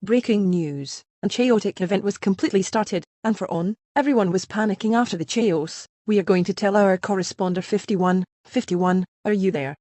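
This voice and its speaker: A woman acts as a composed female TV news anchor. The voice is soft-edged and mellow at a middle pitch, recorded very dry, with a formal scripted delivery. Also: moderate pace, hardly any pauses, no echo, lightly acted. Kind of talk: scripted breaking news read.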